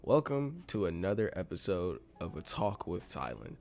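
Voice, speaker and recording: A man's voice: low-pitched, normal speed, vocal fry, noisy background. Congested, rambling man host. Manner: rambling, restarts, animated emphasis. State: congested, a bit nasal. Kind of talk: casual solo commentary.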